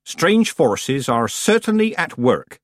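A man voicing a stern, commanding old female country witch who speaks calmly and deliberately in a storybook reading. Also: medium pitch, husky, dry recording, clean studio sound. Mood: icy, guarded. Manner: calm, deliberate, rustic grammar.

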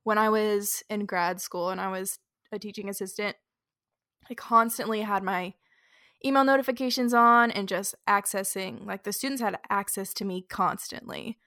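A woman's voice: medium pitch, steady tone, normal speed, bright, forceful tone, dry recording, studio quality. A woman in her twenties co-hosting a podcast, chatting casually and upbeat, full of "like" fillers. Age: 20s. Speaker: podcast co-host. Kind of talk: casual chat.

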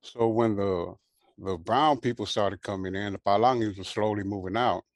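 A man in his sixties from Hawaii, raised in Southern California, telling his life story as a guest in a relaxed way, full of fillers. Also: fairly low pitch, no room sound, studio quality. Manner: relaxed, unhurried, many fillers and "you know". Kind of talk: casual interview storytelling.

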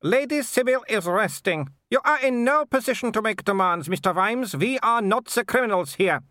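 A man voicing a haughty, older aristocratic werewolf mother with sharp, clipped, scornful speech in an audiobook. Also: sits high, lively intonation, moderate pace, no echo, studio quality, voice pushed up. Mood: icy disdain. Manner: sharp, clipped, snapping delivery.

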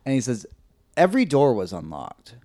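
A man with a slightly high, nasal voice tells a story on a casual podcast. His pitch sits in an ordinary middle range. He rambles and hesitates, full of "like"s and restarts. The recording is close and dry, with no room sound. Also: wildly swooping pitch, normal speed, mellow, dry recording. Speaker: podcast co-host telling a story.

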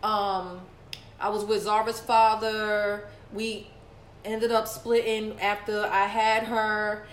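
A woman's voice: medium pitch, little pitch movement, takes it slowly, echoey room, a little hiss or hum. A woman in her late thirties co-hosting a podcast, talking casually and saying "like" a lot. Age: 30s. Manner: chatty, repeats herself, says "like" a lot. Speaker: podcast co-host.

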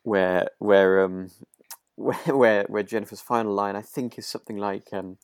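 A British man co-hosting a podcast, chatting casually in a musing, halting way. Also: fairly low pitch, steady tone, clean tone, dry recording, clean studio sound. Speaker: podcast co-host. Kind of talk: casual chat.

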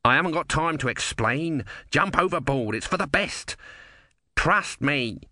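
Here is a man acting out a small tortoise god in a storybook, impatient and bossy. The voice swings widely in pitch as it insists, and it sits close and dry with no room around it. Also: expressive, a little slow, sharp tone, no room sound, exaggerated voice. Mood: urgent shouting.

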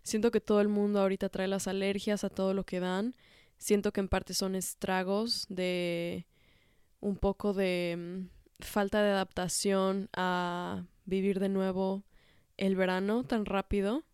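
Young woman podcasting, kinda stuffy from a cold, super clear voice, dry close sound. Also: medium pitch, little pitch movement, no room sound. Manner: warm, unhurried, frequent fillers and soft laughs. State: a bit congested from a cold. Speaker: podcast host. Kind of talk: reflective casual monologue.

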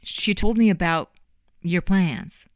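A woman's voice: lowish voice, slow, no room sound, voice pushed up. A woman voicing an earnest young man who pleads with a close friend, in an audiobook. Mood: hesitant. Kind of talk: audiobook character voice.

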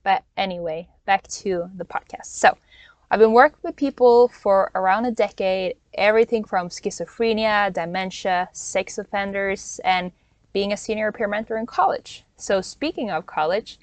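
A woman's voice: mid-range voice, moderate pace, no echo. A Norwegian-born woman in her twenties hosting a solo coaching podcast from inside a van, talking in an earnest, encouraging way with small restarts and laughs. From Norway. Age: 20s. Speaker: podcast host and life coach.

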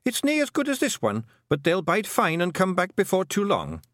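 Guy doing a wee scottish pixie warrior, cheery and scrappy, high swoopy voice, audiobook style. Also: huge pitch swings, moderate pace, a bit bright, no room sound, studio quality, full character voice. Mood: cheerful. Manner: hearty, cheerful, folksy.

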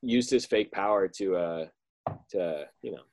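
A young man with a somewhat low voice hosts a loose, joking podcast chat over a video call. He rambles through the plot with plenty of laughs and jokes. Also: a little slow, gravelly, darkish tone. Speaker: podcast host. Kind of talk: casual chat recapping a movie.

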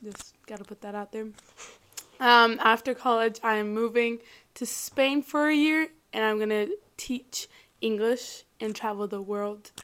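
Soft-spoken young woman, slightly high voice. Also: measured pace. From Mexico. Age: 20s. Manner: soft-spoken, hesitant, giggly, many fillers. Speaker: podcast guest. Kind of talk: casual chat.

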